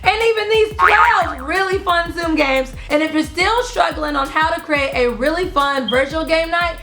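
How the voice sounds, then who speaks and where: A woman's voice: very high-pitched, normal speed, bright, forceful tone. A woman hosting an online video, explaining party games in a chatty, friendly way to viewers. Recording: echoey room. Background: music in the background.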